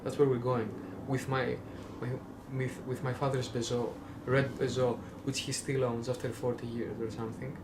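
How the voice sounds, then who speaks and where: A man's voice: medium pitch, little pitch movement, moderate pace, hardly any pauses, noisy background. A young man from Greece with a Greek accent, rambling casually in a vlog, full of fillers and self-restarts with wry jokes. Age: young adult. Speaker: vlogger.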